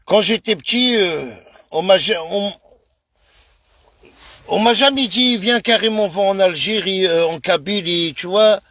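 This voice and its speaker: High-voiced, slow, emphatic French man. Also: fairly bright tone, dry recording, studio quality. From France. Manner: emphatic, repetitive, addresses viewers as brother. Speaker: live streamer. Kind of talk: casual live-stream rant.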